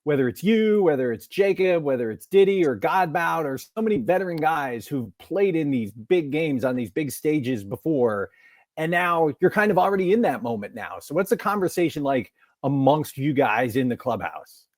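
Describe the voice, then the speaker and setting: A man's voice: a little high, big pitch swings, normal speed, no echo, studio quality. A man co-hosting a sports podcast and asking conversational follow-up questions. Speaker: podcast co-host. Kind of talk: interview questions.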